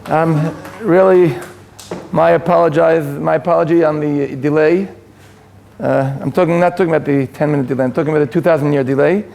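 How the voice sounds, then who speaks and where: An Israeli man whose first language is Hebrew gives a relaxed talk to an audience in a community hall. His voice sits high and carries a gravelly fry. He stumbles through restarts and fillers and drops in dry jokes at his own expense. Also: brisk, a little hiss or hum. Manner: self-deprecating, joking asides, frequent restarts and fillers. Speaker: lecturer. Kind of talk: informal lecture to an audience.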